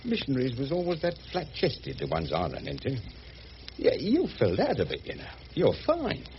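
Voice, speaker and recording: A muffled, noisy radio drama recording of a humble riverboat man. His lowish voice rises and dips freely as he talks in a halting, homely, deferential way. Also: huge pitch swings. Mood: admiring, awkward. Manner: colloquial, halting, deferential, calling his companion miss. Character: rough, humble, good-hearted working-class riverboat man.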